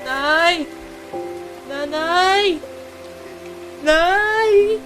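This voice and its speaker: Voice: very high voice, very slow, over music, voice pushed up, heavily acted, low-quality recording. An audiobook narrator voicing a frightened, crying six-year-old child who calls for a parent. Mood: panicked, calling out. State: crying, gasping. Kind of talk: audiobook character voice.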